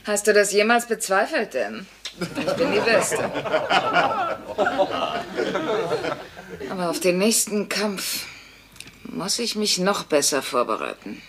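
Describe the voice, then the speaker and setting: A woman's voice: medium pitch, sing-song, measured pace, a crowd in the background. An actress playing a sardonic, bossy working-class mother in a dubbed sitcom, with dry wisecracking delivery. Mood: smug. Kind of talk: German dubbed sitcom acting.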